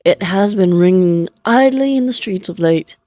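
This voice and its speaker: A woman's voice: mid-range voice, animated, moderate pace, mellow, lightly acted. A woman playing a playful, flirtatious immortal vampire in a Victorian fantasy audio roleplay, teasing in genteel, archaic phrasing. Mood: sly, insinuating.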